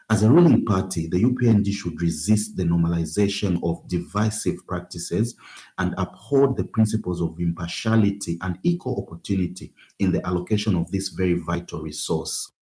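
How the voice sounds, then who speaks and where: Man w a low mellow voice reading out an official statement, formal and firm. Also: normal speed. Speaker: advocacy group spokesman.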